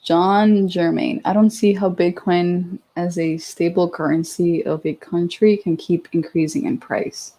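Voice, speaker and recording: A woman's voice: fairly low pitch, flat delivery, unhurried, hardly any pauses, mellow, low-quality recording. A woman in her twenties hosting a casual livestream, chatty and giggly as she reads out and answers viewer questions. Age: 20s. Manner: giggly, chatty, with many fillers and restarts. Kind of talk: casual live chat.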